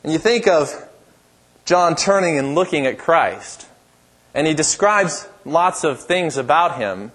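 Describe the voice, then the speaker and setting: Man, pastor, church sermon, powerful voice, slightly high pitch, emphatic repetitive cadence. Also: animated, a little slow, a bit bright.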